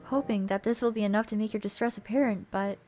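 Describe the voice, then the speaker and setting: A woman streamer reading a visual novel aloud as theatrical storybook narration, expressive and playful. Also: medium pitch, fast talker. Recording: music behind the voice.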